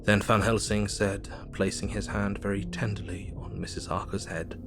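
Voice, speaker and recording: An actor playing a sombre, observant Victorian diarist, narrating in a measured, grave manner in an audio drama. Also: male voice, on the low side, flat delivery, music in the background, close to an everyday voice.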